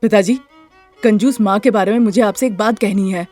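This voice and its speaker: A woman's voice: mid-range voice, brisk, darkish tone, close to an everyday voice. Woman acting adult son, exasperated, earnest, worried, moral story, background music. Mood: upset.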